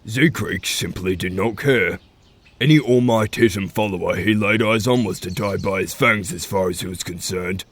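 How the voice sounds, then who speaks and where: A grave veteran wolf chief played by a man with a low voice. He speaks solemnly and with measured authority, like a father leading his pack in a fantasy audio drama. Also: fairly bright tone, slightly rough.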